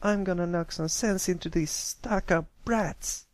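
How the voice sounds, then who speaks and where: A man's voice: high-pitched, unhurried, no echo, voice pushed up. A man voicing a feisty, hot-tempered young pegasus filly in a story reading, indignant and scrappy. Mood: angry.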